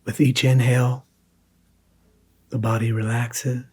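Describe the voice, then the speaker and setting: Slow, husky male meditation guide. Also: medium pitch, flat delivery. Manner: soft, hushed, breathy delivery with long pauses.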